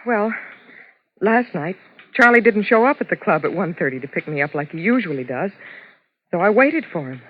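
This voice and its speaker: A muffled, faintly hissy old radio play carries the voice of a frightened married dancer played by an actress. She keeps herself composed, speaking in a measured, hesitant way. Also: fairly low pitch, animated, lightly acted. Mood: anxious, composed. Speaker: radio actress in crime drama. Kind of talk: 1950s radio suspense acting.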